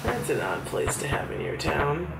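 A woman's voice: low-pitched, huge pitch swings, moderate pace, creaky, heavy background noise. A woman co-hosting a playful video game commentary, tossing in dry, joking quips. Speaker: co-host and storyteller. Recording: roomy echo, game music behind. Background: video game music.

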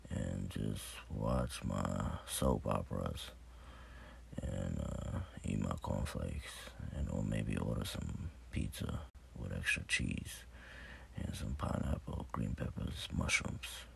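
A man's voice: very deep voice, vocal fry, fairly bright tone, slight background noise, pitched below the performer's own voice, exaggerated voice. A man voicing a tired, rambling old man of seventy-nine who wants to go home, filmed on a phone indoors. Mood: weary. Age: 70s. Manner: rambling, weary, slow. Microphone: phone front camera at arm's length.

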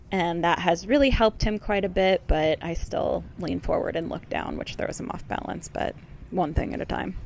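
A woman giving chatty, affectionate, casual vlog commentary as a horse rider. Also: lowish voice. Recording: clear background noise.

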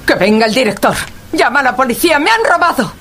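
An actress playing a haughty, demanding countess in a radio drama, curt, formal and commanding. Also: female voice, high-pitched, big pitch swings, fast, music in the background. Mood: outraged alarm. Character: haughty, imperious aristocratic countess, demanding and indignant. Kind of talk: Spanish-language radio drama dialogue.

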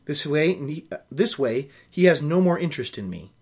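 A man's voice: fairly high pitch, sing-song, moderate pace, darkish tone, husky. A young man reading a novel aloud in a small room at home, hosting and adding wry, casual asides. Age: young adult. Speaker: host of a book reading show.

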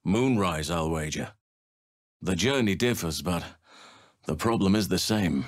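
A wise male elf druid for a fantasy game. His low voice is measured and solemn, with a gentle, thoughtful manner. The recording is dry and close. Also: lively intonation, no echo. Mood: thoughtful, calm. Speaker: video game character. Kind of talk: dramatic game dialogue.

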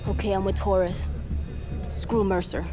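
A woman's voice: medium pitch, level tone, unhurried, lightly acted. A woman playing a fiery, distrustful young street racer in a video game, blunt and streetwise in the way she talks. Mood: determined. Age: young adult. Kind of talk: video game cutscene acting. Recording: loud music over the voice.

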